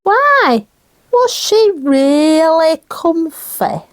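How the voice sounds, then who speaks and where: Tiny squeaky kid puppet, eager and innocent, super bouncy, slow talker. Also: female voice, very animated, no echo, clean studio sound, exaggerated voice. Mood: curious. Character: naive, eager young child puppet. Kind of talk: scripted children's puppet comedy.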